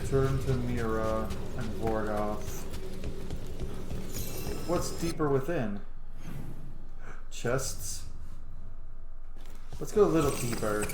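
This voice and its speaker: A man giving excitable, casual gameplay commentary, blurting exclamations and swearing as he talks to himself and his viewers. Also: mid-range voice, slow pace, pauses often, background noise. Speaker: gaming video host. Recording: roomy echo, game music behind. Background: video game music.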